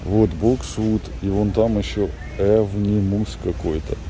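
A man's voice: fairly low pitch, fairly even intonation, a little slow, background noise. A middle-aged man chatting casually to viewers while filming in a large warehouse store. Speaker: vlogger. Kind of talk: casual vlog chat while shopping. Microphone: handheld camera.

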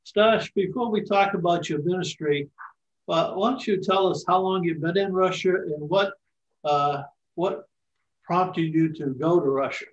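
A man's voice: sits high, a little slow. An older man, a bishop, hosting an interview on a video call, hesitant with many fillers. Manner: hesitant, lots of "uh". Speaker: host, bishop. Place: home room, indoors. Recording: distant, dull call audio.

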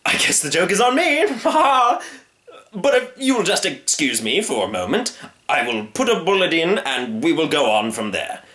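A man's voice: fairly high pitch, sing-song, moderate pace, sharp tone, roomy sound, pitched above the performer's own voice. A man voicing a pompous, alarmist hunter from a storybook, speaking in an emphatic, exclamatory way. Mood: embarrassed. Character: blustering, alarmist, pompous male lion hunter.

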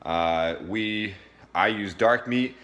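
A man in his thirties with a fairly low voice talks casually into his phone at home. He explains things step by step in an informal, plain-spoken way, as a fitness vlogger would in his own kitchen. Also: slow pace, fairly bright tone. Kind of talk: casual how-to talk to camera. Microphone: phone at arm's length.

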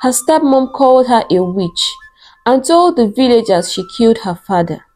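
A woman narrating a scripted folktale in a measured, deliberate storytelling manner. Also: a little high, mellow.

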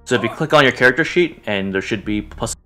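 A man's voice: mid-range voice, expressive, fast, sharp tone. A man narrating an online tabletop roleplaying game as game master, describing scenes and instructing players. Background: music.

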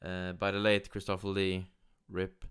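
Low, smooth Swedish-accented man. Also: monotone, unhurried, fairly bright tone. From Sweden. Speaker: gaming YouTuber. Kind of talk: casual news commentary, partly reading aloud.

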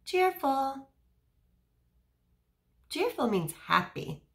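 A woman's voice: high-pitched, big pitch swings, extremely slow, a bit bright. A woman in her thirties reading a picture book aloud to young children as a teacher, explaining simply and putting questions to her listeners.

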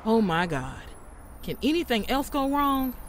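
A woman's voice: a little high, sing-song, unhurried, noisy background. An actress playing a practical, sardonic woman in a crew of amateur robbers, in an audio drama. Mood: exasperated.

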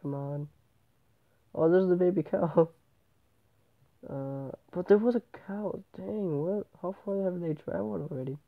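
A man's voice: sits high, unhurried, gravelly, long pauses. Stuffy-nosed male gamer, tinny sound. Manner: rambling, often correcting himself. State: stuffy nose from a cold. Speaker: gameplay channel host. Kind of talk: casual game commentary. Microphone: tablet microphone. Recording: tinny, phone-like tablet recording.

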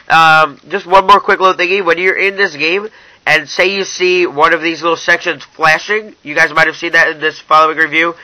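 A young man reviews an app in a casual, informal style. His voice sits a little high for a man and is very bright and forward. He addresses his viewers directly as he demonstrates. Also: no echo, poor audio.

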